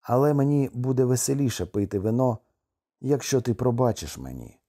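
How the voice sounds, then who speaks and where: A man's voice: medium pitch, sing-song, normal speed, dark tone. A man voicing a brooding husband from a storybook, stern and desperate, with an emphatic delivery. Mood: tender, forced cheer.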